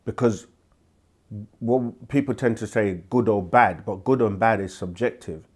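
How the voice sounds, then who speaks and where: A man hosting a live question-and-answer stream, speaking with a sore throat and a congested voice, deliberate and full of restarts. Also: fairly low pitch, slightly rough. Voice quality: slow, deliberate delivery with frequent restarts. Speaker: host answering viewer questions. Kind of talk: livestream Q&A teaching.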